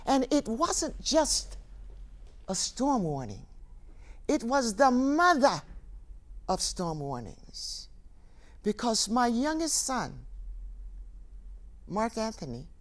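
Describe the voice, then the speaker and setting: An actress plays an older woman telling her life story on stage, wry, wounded and defiant. Her voice sits fairly high and moves through wide, emphatic swings. She takes her time, leaving deliberate, dramatic pauses between phrases. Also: big pitch swings. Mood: solemn, grieving. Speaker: stage actor performing a monologue. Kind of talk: theatrical dramatic monologue.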